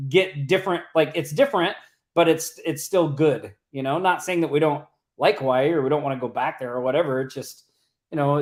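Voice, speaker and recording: A man from Oklahoma co-hosting a casual livestream chat, talking loosely with many fillers and restarts. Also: fairly high pitch. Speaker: co-host. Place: home or office in Las Vegas.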